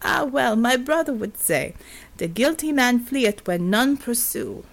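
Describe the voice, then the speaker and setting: A woman's voice: a little high, very animated, a little slow, creaky, voice pushed up, heavily acted. A woman voicing an expansive, theatrical Jamaican man in an audiobook story. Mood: wry.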